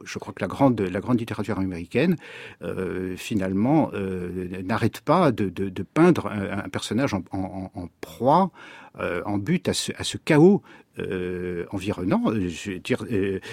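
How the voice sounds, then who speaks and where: Husky low-voiced man, halting scholarly talk. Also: a little mellow, no room sound. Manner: halting, searching, repeats words. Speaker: literary scholar, radio guest. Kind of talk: literary discussion in a radio interview.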